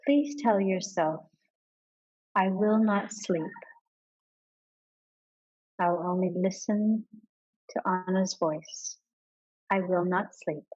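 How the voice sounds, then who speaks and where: A woman leading a guided yoga nidra meditation in a calm, measured manner with pauses between instructions. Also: lowish voice, dull audio.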